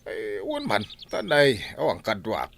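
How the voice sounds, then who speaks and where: A man's voice: lowish voice, huge pitch swings, measured pace, vocal fry, fairly bright tone, a little hiss or hum, exaggerated voice. An actor with an Ilocano Filipino accent playing a grumbling, stingy middle-aged village husband and father in a radio drama, blunt and exclamatory.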